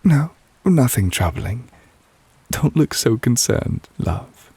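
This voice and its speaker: A man playing a devoted, tender but possessive lover in a romantic ASMR roleplay, speaking softly and intimately in a measured, wistful way, close to the microphone. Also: medium pitch, very animated, no room sound, exaggerated voice. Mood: tender, reflective. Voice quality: soft, breathy, husky. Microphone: close, dry microphone.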